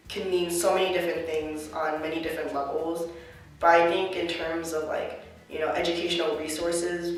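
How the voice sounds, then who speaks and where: A young woman from the United States answering interview questions about her life as a college student, reflective and earnest, with plenty of filler words. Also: lowish voice. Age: young adult. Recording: echoey room, mic at a distance.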